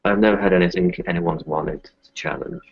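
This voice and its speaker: Man playing a deep, gravelly, blunt young fighter. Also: steady tone, fairly quick, full character voice. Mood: envious. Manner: blunt, sardonic. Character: wary, blunt, envious kinfolk man, cursing. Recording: muffled, dry close recording.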